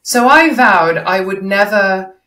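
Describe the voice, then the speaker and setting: A woman's voice: medium pitch, animated, slow pace, sharp tone. A middle-aged woman giving instructive public speaking advice as an interview guest, posing rhetorical questions and examples. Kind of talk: explanatory coaching advice in an interview. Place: indoor room, video call. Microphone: video call, no headset seen. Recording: echoey room over video call.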